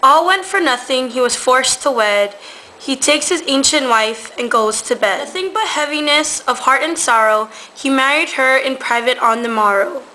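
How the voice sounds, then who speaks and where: A woman's voice: sits high, expressive, normal speed, sharp tone, rough recording. A young woman reading scripted storytelling narration for a student puppet show. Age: teenager. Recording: amateur recording with faint noise.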